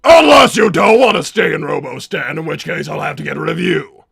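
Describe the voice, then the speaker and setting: A man voicing an egomaniacal, scheming cartoon villain scientist in a theatrical, booming, shouting manner. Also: expressive, a little fast, creaky, slightly rough, no echo, clean studio sound, heavily acted. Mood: menacing. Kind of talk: improvised character livestream Q&A.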